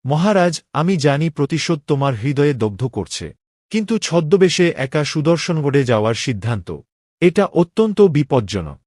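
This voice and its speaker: A man's voice: fairly high pitch, very animated, normal speed, a little mellow, no room sound, clean studio sound. A man playing a king's loyal, worried young friend in a cartoon drama, with an earnest, pleading way of speaking. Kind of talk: dramatic Bengali cartoon voice acting.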